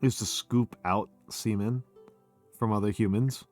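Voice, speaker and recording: A man's voice: lowish voice, fairly even intonation, unhurried, a little mellow. Drunk male streamer, rambling lecture voice, stumbles over words, light music bed. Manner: rambling, slurring restarts. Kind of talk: drunk casual chat.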